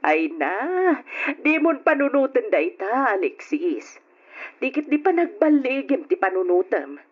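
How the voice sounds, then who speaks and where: A woman's voice: a little high, big pitch swings, a little slow. A woman playing a kindly aunt in a radio drama, encouraging and reassuring. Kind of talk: Ilocano radio drama acting. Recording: dull lo-fi old radio recording.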